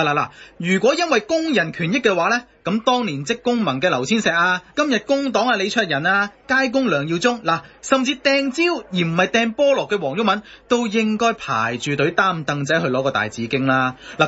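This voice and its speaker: A man's voice: high-pitched, bright, forceful tone, heavily acted. An actor with a Hong Kong Cantonese accent playing a cheeky, brash man trading retorts in a satirical radio drama. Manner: brash, bantering. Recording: slightly dull radio broadcast.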